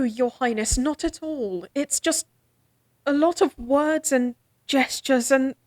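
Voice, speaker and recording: A woman's voice: sits high, no echo. An actress playing a proud young lady-in-waiting and court musician in a fantasy audio drama, formal and a bit exasperated. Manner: crisp, formal, slightly exasperated.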